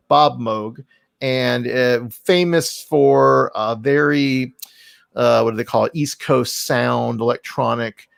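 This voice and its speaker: Guy hosting a podcast, creaky voice, super effusive n rambly, pokes fun at himself, dry sound. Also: medium pitch, no echo. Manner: effusive, rambling, self-deprecating. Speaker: podcast host. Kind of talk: casual conversational interview.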